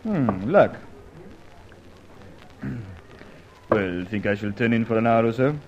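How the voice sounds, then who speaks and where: An actor playing a courteous but guarded crook in an old radio adventure serial, speaking in terse, clipped phrases. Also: male voice, lowish voice, expressive, takes it slowly. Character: courteous, guarded male crook posing as a ship's passenger. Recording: muffled, noisy vintage radio recording.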